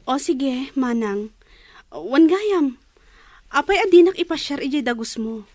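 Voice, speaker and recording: A woman's voice: high voice, expressive, measured pace, a little mellow, no echo. A woman playing a grateful, dutiful younger sister, a student, in a radio drama. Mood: grateful, earnest.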